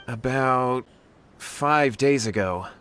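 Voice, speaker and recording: A man's voice: mid-range voice, takes it slowly, fairly bright tone, a little hiss or hum. A man playing an earnest, anxious young man in a video game, speaking politely and hesitantly with an imploring manner. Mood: worried. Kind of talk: anime-style video game voice acting. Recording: background music behind the voice.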